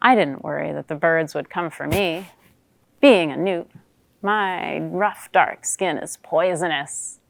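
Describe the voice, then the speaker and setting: A woman performing a dramatic monologue as a wry, watchful female newt, in measured theatrical storytelling. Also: low-pitched, gravelly, heavily acted. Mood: somber, wry.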